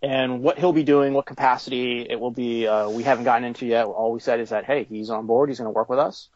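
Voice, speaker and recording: A man's voice: mid-range voice, steady tone, quick pace, a little mellow, no echo, highs cut off. A man being interviewed about his toy company, talking in a casual, conversational way.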